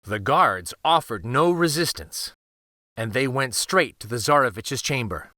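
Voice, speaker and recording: Bright, highly animated male storyteller. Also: dry recording, clean studio sound. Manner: expressive, deliberate, theatrical. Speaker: children's storyteller. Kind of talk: dramatic picture-book read-aloud.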